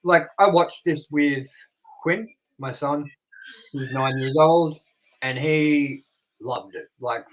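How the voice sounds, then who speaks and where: A slightly higher-pitched man in his thirties co-hosting a film review chat. He talks loosely and at length, drifting into anecdotes and dropping casual swear words as he goes. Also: fairly bright tone, poor audio. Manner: rambling storyteller, swears casually. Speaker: movie review co-host.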